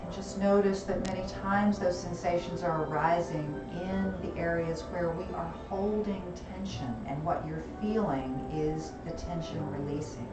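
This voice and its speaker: A woman teaching a gentle yoga class, calmly cueing breath and movement, her voice a little gravelly and hoarse. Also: lowish voice, unhurried, few pauses. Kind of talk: gentle guided yoga instruction. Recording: roomy, distant, music behind.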